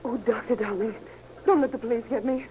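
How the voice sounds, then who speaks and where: An actress playing a frightened, hunted wife in an old radio crime drama, halting and pleading. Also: female voice, fairly high pitch, natural voice. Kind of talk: 1940s-50s American radio crime drama acting. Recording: muffled, noisy old radio recording.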